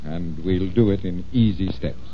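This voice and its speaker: A man's voice: deep voice, moderate pace, lightly acted. An actor playing a confident magician detective in 1940s radio style, explaining things patiently like a showman. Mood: showmanlike, patient. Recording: dull, noisy old-time radio recording.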